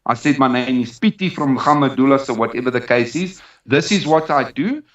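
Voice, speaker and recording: A man's voice: mid-range voice, brisk. A man with a South African accent speaking as an interview guest, giving an emphatic personal account and repeating phrases for weight. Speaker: interview guest, dog trainer. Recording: roomy, distant, dull sound.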